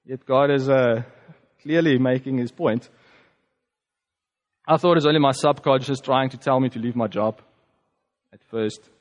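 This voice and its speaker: A man with a South African accent, a native Afrikaans speaker, giving a reflective personal testimony as a preacher, conversational and halting with fillers. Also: medium pitch, big-room sound, highs cut off.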